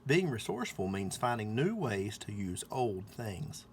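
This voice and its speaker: Guy reading a kids story out loud, really animated pitch, faint noise behind him. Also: a little slow. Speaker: storybook reader. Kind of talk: children's story read-aloud.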